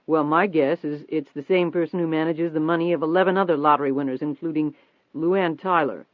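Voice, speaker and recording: Guy doing a confident nosy reporter man, pressing hard, audiobook, higher-ish voice, sounds like a phone line. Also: fairly quick, no echo, natural voice. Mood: accusing. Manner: insistent, steady, probing. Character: pushy, persistent male newspaper reporter, coaxing and confident. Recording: thin phone-like band-limited audio.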